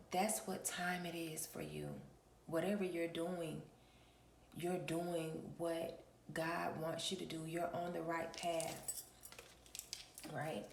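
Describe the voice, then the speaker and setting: A woman hosting her own channel, talking in a relaxed early-morning vlog with a raspy voice and a preachy manner, often clearing her throat. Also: lowish voice, slow, long pauses, echoey room, a little hiss or hum. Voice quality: gravelly. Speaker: spiritual reader and channel host.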